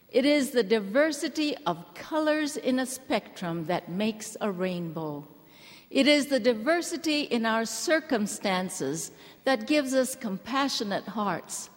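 A woman from Hawaii giving an earnest, gentle church address to a women's gathering. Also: on the high side. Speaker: church speaker. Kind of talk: measured address to a women's conference. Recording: large hall reverb.

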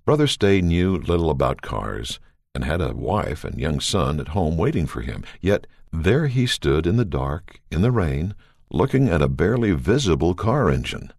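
A man reading a first-person story aloud in a steady, earnest, measured way, recorded close to the microphone. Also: extremely low pitch, creaky, no echo. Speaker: reader.

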